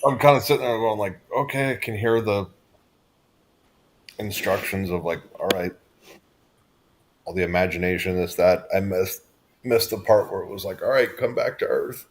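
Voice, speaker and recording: A man with a very low, deep voice, co-hosting a podcast in casual chat. He talks in a relaxed, laid-back way and rambles a little as he tells his story. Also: normal speed, long pauses, a little hiss or hum, poor audio. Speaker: podcast co-host, hypnotist.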